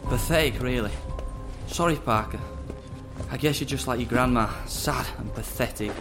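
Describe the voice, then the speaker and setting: A smug, menacing double agent acted by a man in a sci-fi audio drama. He taunts and talks down in a possessive, mid-pitched voice over clear background music. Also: moderate pace, natural voice. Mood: smug contempt. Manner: taunting, condescending.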